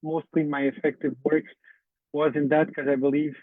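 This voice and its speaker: A man whose first language is Portuguese, speaking English as a panelist at a conference, halting and searching for words. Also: a little high, flat delivery, dry recording. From Canada. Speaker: panelist and council member. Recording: tinny phone-like line, dry.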